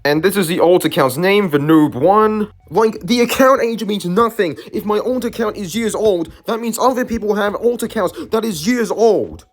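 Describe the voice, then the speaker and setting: A man's voice: sits high, moderate pace, few pauses, faint music behind. A man hosting a video, giving casual, rambling, argumentative commentary. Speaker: YouTube host.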